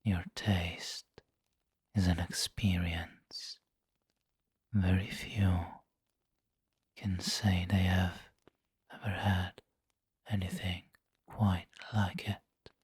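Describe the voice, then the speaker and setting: A man playing a refined, doting and possessive vampire lord in an intimate ASMR roleplay, with a breathy whisper and formal, tender phrasing. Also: low-pitched, flat delivery, no echo, full character voice. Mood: savoring, possessive. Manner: slow, formal, tender, indulgent.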